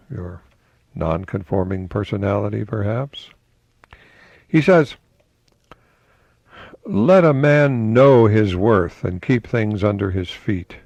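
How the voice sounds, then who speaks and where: An older man giving a reflective radio talk in a conversational, musing way, posing rhetorical questions and reading quotations slowly with emphasis. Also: lowish voice, creaky, darkish tone, no room sound. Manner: slow, emphatic delivery of quotations. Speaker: radio host, professor.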